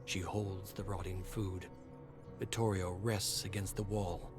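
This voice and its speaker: A man narrating a scripted story dramatically, in a measured and solemn manner. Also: deep voice, little pitch movement. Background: music.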